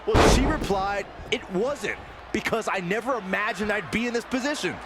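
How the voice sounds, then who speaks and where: Guy doing wrestling game commentary, high voice, slams and noise in the background. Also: normal speed. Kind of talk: scripted sports commentary for a video game. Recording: arena noise with slams behind. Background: wrestling arena ambience and slams.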